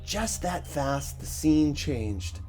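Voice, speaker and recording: Slow-paced, dark-toned male story narrator. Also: fairly high pitch, sing-song, soft background music. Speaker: audiobook narrator. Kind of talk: dramatic first-person story narration.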